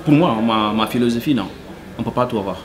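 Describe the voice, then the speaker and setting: A man's voice: mid-range voice, fast talker. Earnest man, busy crowd behind. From Senegal. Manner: earnest, hesitant, heavy use of fillers. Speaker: cook, interviewee. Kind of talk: candid interview answers.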